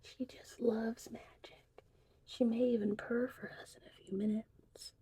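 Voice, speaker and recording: A woman speaking mostly in a soothing, slow whisper, as a gentle mystical witch in an ASMR roleplay. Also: a little high, level tone. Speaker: ASMR creator playing a witch who teaches potion making. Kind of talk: whispered ASMR roleplay, guided breathing and narration. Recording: clearly noisy, vintage VHS-tape styled audio.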